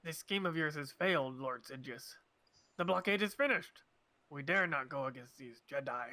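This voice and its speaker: Man doing a sharp-voiced fearful alien henchman. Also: fairly high pitch, moderate pace, dry recording. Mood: fearful, protesting. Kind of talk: comedic amateur script table read.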